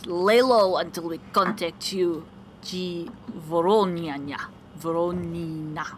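A woman's voice: fairly low pitch, steady tone, a little hiss or hum, voice pushed down. Woman doing a movie-style Russian spy handler. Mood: conspiratorial. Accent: put-on Russian. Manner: slow, clipped, exaggerated movie-villain delivery. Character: stern Russian spy handler, letter writer.

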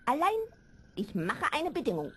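A woman playing a stern, commanding sorceress in a fairy-tale audio play, with theatrical, drawn-out delivery. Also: high-pitched, huge pitch swings, slight background noise. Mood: imperious, bargaining. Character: stern, imperious, feared sorceress, adult female.

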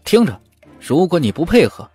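A man doing a lively young hero, urgent. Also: a little high, normal speed, breathy edge, soft background music, voice pushed up, exaggerated voice. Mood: threatening. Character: quick-witted young male martial hero.

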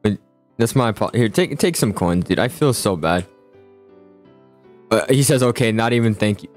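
Young male gamer for casual school roleplay, animated and slangy, music under the voice. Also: on the low side, moderate pace. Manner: slangy, excitable, stammering repeats. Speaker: roleplay video host playing a student. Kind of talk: casual improvised game roleplay.

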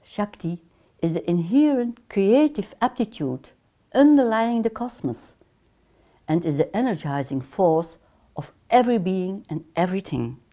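An older woman with a Dutch accent delivers a course lecture in an unhurried, deliberate way. Her voice is mellow and somewhat low, and her pitch rises and falls in a lively manner. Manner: measured, deliberate, gestures with her hands. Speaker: counseling lecturer. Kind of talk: scripted online course lecture. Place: small lamp-lit room, seated in armchair.

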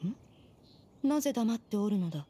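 A woman's voice: medium pitch, expressive, normal speed, warm tone, slight background noise, lightly acted. A woman playing a proud, aloof immortal master in an anime game, speaking in imperious, formal, archaic language. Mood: stern, aloof. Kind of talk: Japanese anime game voice acting.

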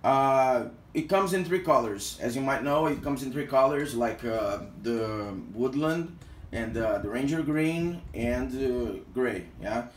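A man's voice: medium pitch, expressive, unhurried, slight background noise. A Brazilian man with a mid-range voice talks through a gear review, stopping often for fillers and restarts. He sounds hesitant but friendly in a casual way. The small indoor room around him adds a roomy echo. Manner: hesitant, heavy use of fillers. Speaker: product reviewer.

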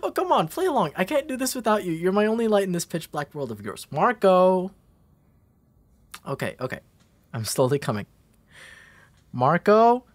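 A young man playing a gentle, protective, playful big brother in a comfort roleplay, speaking in a hesitant, soothing way. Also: sits high, sing-song. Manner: hesitant, soothing, halting delivery. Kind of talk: intimate comfort roleplay audio.

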